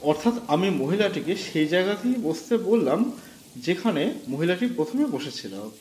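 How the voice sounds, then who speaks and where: High, expressive male horror narrator. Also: normal speed, slight background noise. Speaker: storyteller. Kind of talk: first-person horror story narration. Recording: roomy with faint music.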